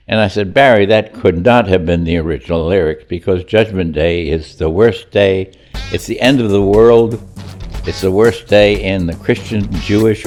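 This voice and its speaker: A man's voice: low voice, darkish tone. An older man, a chorus member, telling stories in a chatty, wry way during a documentary interview.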